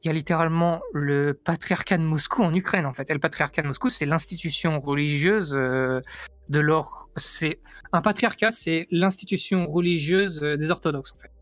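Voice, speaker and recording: Higher-pitched man from France, insistent. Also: moderate pace, fairly bright tone, no room sound. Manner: insistent, emphatic, repeats himself. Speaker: guest giving an opinion. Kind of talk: casual debate, explaining.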